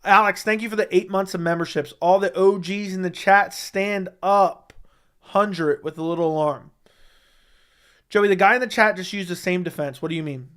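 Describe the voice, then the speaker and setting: A man's voice: sits high, moderate pace, fairly bright tone. A man hosting a casual mixed martial arts livestream, answering viewer chat in a blunt, opinionated way and repeating his points for emphasis. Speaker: MMA commentary livestream host.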